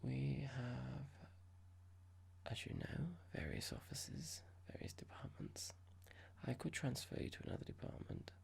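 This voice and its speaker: A performer playing a cold, smug corporate boss in a whispered ASMR roleplay, speaking slowly and deliberately with breathy creak and mock politeness. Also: medium pitch, very animated, darkish tone, full character voice. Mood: cold, formal.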